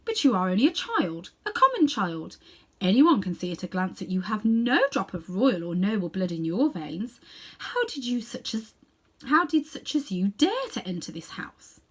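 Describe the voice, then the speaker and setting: A woman's voice: mid-range voice, sing-song, normal speed, breathy edge. A woman voicing a tall, imperious queen in a storybook, haughty and commanding. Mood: haughty demanding.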